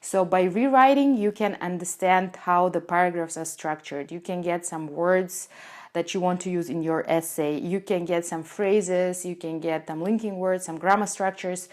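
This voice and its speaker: A woman's voice: on the low side, normal speed, a little mellow. A woman in her twenties whose first language is Russian, giving earnest step-by-step study tips to camera, with frequent "uh" fillers, recorded on a lapel mic in a small room. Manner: earnest, explains step by step with non-native phrasing and frequent "uh" fillers. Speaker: IELTS teacher and channel host. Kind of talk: casual to-camera tips video. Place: small indoor room with a door behind.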